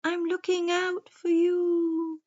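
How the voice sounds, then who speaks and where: Watchful old owl for a kids story, high hooty voice, slow. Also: female voice, monotone, darkish tone, muffled recording. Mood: watchful hooting. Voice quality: hooting. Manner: drawn-out storybook pronouncements.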